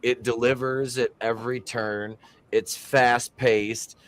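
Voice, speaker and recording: A man's voice: medium pitch, level tone, slow, a bit bright. A man on a podcast who often restarts his words as he talks. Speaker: podcast co-host. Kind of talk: casual podcast chat.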